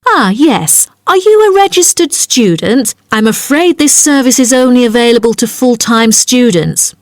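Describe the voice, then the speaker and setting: A woman's voice: fairly high pitch, expressive, moderate pace, dry recording, clean studio sound, natural voice. A woman playing a polite, helpful job centre agent in a scripted language-test dialogue, courteous and businesslike.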